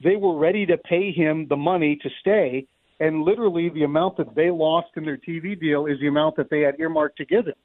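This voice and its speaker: A man's voice: fairly high pitch, fairly quick. A middle-aged man giving conversational, wryly humorous sports analysis as a play-by-play announcer guesting on a radio show. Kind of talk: unscripted sports analysis in a live radio interview. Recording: roomy, thin narrow-band phone line.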